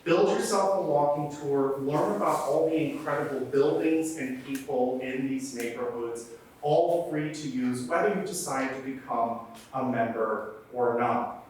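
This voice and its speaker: A man's voice: medium pitch, a little slow, a little mellow, a little hiss or hum. Guy doing a chatty intro at a library talk, super echoey, sounds far from the mic. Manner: chatty, joking with the audience. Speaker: programs director introducing a book talk. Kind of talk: live event introduction. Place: library event room.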